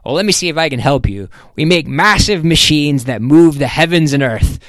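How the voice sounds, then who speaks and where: A man's voice: fairly high pitch, animated, normal speed, a bit bright, no echo, voice pushed up. A brash middle-aged business boss acted out by a male narrator in a storybook reading. He pushes his voice up and speaks with blustering, insistent emphasis. He sounds bossy and expansive, used to getting his way. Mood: proud.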